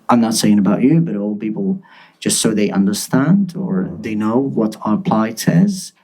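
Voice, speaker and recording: Earnest young man, low dark husky voice. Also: low-quality recording. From Gaza. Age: 20s. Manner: earnest, emphatic, repeats words while searching for them. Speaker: guest journalist. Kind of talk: live panel talk.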